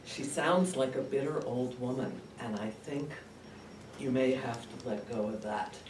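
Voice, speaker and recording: A man's voice: mid-range voice, a little slow, darkish tone, lightly acted. A man playing a bitter, twice-divorced woman in a comic stage monologue, with a dry, complaining manner. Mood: wry, resigned. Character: aggrieved twice-divorced woman named Pat, bitter about her mother. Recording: distant, roomy, noisy live recording.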